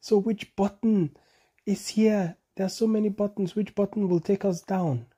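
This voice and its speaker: Man as impulsive young monkey for a bedtime story: high, husky, excitable, easily alarmed. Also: moderate pace. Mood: panicked. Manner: breathless exclamations, repeating phrases in alarm.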